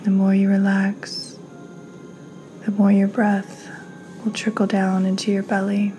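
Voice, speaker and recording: A woman leads a guided meditation in a soft, calm voice. Her pace is slow and her pitch stays very even. The tone is mellow and gentle, often close to a breathy whisper. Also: faint music behind. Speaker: podcast host, meditation guide.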